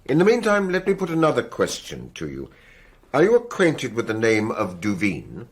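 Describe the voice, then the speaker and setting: An actor playing a courteous, authoritative French examining magistrate in a radio drama, with a formal, official manner. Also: male voice, mid-range voice, huge pitch swings, normal speed. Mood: probing, official.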